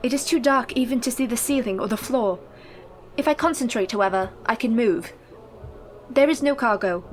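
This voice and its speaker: An actress playing a brave, entranced Victorian wife under a curse in a radio play, speaking in a formal, measured way. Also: female voice, fairly high pitch, a little hiss or hum, lightly acted. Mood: hushed, searching.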